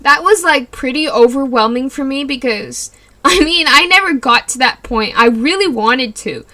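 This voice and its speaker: A woman's voice: sits high, animated, moderate pace, pushed and bright. A young woman from California chatting on her solo podcast, rambling with many fillers and frequent laughs. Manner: rambling, giggly, lots of likes and you knows. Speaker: podcast host. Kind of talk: casual solo podcast chat.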